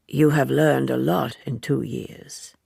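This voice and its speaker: A woman's voice: extremely low pitch, fairly even intonation, takes it slowly, vocal fry, darkish tone, husky, no echo, clean studio sound, pitched below the performer's own voice, heavily acted. A woman reading an audiobook, voicing a secretive male lover who is wry, terse and guarded. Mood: wry, teasing. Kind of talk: audiobook character dialogue.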